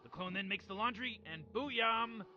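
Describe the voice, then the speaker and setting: A man's voice: high voice, normal speed, sharp tone, lo-fi sound, slight background noise. An actor voicing a cocky, rambling genius grandfather scientist in a video game, stammering and dismissive. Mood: smug. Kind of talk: game dialogue.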